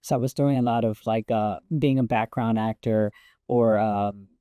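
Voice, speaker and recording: A woman with a relatively deep voice, a guest actress telling stories in an animated, laughing way. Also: normal speed, dry recording, studio quality. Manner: animated, laughs often, voice jumps up when excited. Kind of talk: casual interview storytelling.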